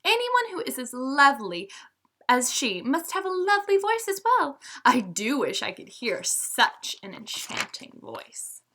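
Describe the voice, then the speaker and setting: Give the storybook a sly male fox, acted out by a woman. He coaxes and flatters in a theatrical, gushing way. The voice sits high and swings widely in pitch. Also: measured pace, heavily acted. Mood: coaxing admiration. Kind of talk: storybook read-aloud character voice.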